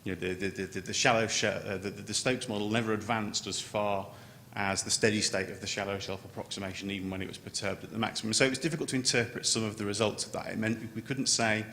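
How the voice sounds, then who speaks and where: A man giving an unscripted technical conference presentation as a scientist, halting and often repeating words as he starts a phrase. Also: steady tone, pushed and bright. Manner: halting, with stammered word repetitions. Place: large conference room. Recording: echoey hall, noticeable background noise, low rumble.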